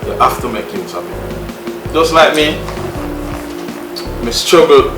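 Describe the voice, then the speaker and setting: A man's voice: fairly high pitch, animated, slow pace. A Jamaican-accented man talks easily as a guest, laughing often and circling back on his own phrases. He sits back from the mic in a roomy, echoing space with music playing behind him. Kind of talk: casual conversation. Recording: echoey room, distant mic, music.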